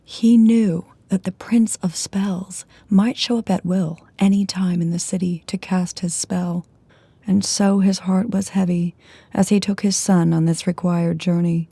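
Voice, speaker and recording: A woman narrator reading a scripted fairy tale in a measured storybook delivery, with phrase endings falling to a hush. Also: lowish voice, steady tone, breathy edge, dry recording. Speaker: audiobook narrator. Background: music.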